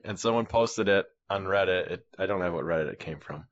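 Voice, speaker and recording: A man's voice: sits low, a little fast. A man co-hosting a podcast, telling rambling everyday stories in casual chat. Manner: rambling storyteller, acts out dialogue. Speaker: podcast co-host. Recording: dull lo-fi sound.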